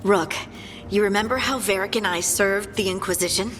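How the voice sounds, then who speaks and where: Woman acting an earnest loyal scout girl in a fantasy game, casual talk, long reverb, soft music. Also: mid-range voice, moderate pace, close to an everyday voice. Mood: friendly. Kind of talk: video game cutscene acting.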